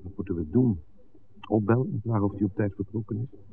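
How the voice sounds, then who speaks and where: An actor playing an anxious, insistent garage worker in a 1960s radio play. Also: male voice, lowish voice, quick pace, gravelly. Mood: insistent, worried. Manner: uneasy, insistent. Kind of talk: 1960s Dutch radio drama acting. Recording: narrowband vintage radio, faint noise.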